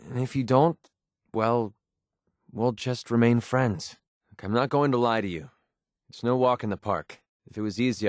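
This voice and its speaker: Casting: male actor for a jaded young wasteland gun-for-hire, video game, mid-range pitch, blunt, guarded, earnest underneath, close dry sound. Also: dry recording, lightly acted. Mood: hurt, upset, then businesslike, wry. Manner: blunt, cynical, earnest when opening up.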